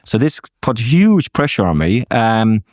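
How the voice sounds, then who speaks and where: An Irish man speaking as a business guest in a podcast interview, measured and thinking aloud with frequent ums. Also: on the low side, very animated, a little mellow, no room sound, studio quality. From Ireland.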